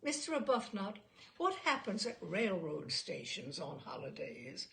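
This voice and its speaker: A woman voicing a courteous male questioner who methodically examines a witness in a humorous story, formal and measured. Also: mid-range voice, big pitch swings, gravelly, a bit bright, a little hiss or hum, full character voice. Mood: polite, inquiring. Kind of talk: humorous short story read aloud.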